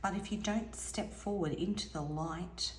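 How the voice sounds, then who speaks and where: Soft-spoken hoarse woman reading tarot. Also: fairly low pitch, unhurried, reverberant, slight background noise. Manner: musing, soft-spoken, drifts into near-whispers. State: slightly hoarse, losing her voice. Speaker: tarot reader.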